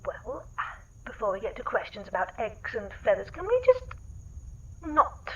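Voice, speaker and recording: A woman's voice: a little high, huge pitch swings, normal speed, highs cut off. A woman playing a genteel, wry lady adventurer, arch, wordy and polite, in a sci-fi comedy audio drama. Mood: politely wry.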